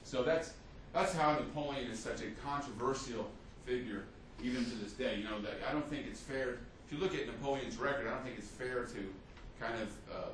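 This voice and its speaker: A man's voice: mid-range voice, fairly quick, hardly any pauses, slight background noise. A man giving an unscripted history lecture as a teacher, telling it like a story, with many fillers and restarts. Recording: echoey room, mic at a distance.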